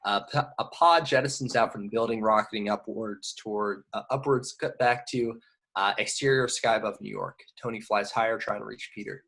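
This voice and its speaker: A man's voice: lowish voice, a little fast, gravelly, fairly bright tone. A man narrating scripted action directions in an amateur table read of a film. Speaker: narrator reading stage directions.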